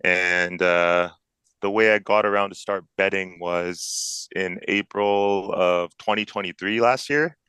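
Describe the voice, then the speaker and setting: A man in his early thirties with a Canadian accent talks casually as a guest. His voice is deep and forward with a clean, smooth edge, recorded dry and close. His phrasing is slangy and informal. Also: steady tone, a little slow, bright, forceful tone, dry recording. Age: early 30s. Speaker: podcast guest, sports bettor and accountant. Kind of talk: casual interview chat.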